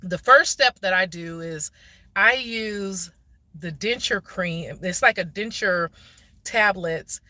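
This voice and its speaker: A woman's voice: fairly low pitch, pushed and bright, dry recording. A woman giving a casual, explanatory impromptu livestream chat, speaking directly to viewers on a phone in a parked car. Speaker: vlogger answering questions on a livestream. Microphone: phone, handheld or propped nearby.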